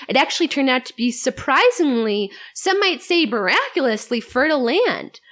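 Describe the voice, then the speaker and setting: A woman's voice: sits high, big pitch swings, moderate pace, a bit bright. A woman talks through a story as a podcast guest. She is articulate and lightly wry, moving between prepared narration and conversational asides.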